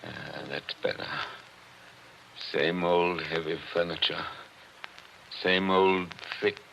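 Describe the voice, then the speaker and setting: An actor playing a tense, haunted attorney who talks in an urgent, brooding way, in 1940s radio acting. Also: male voice, low voice, steady tone, takes it slowly, creaky, a bit bright, a little hiss or hum, full character voice. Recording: dull old radio broadcast.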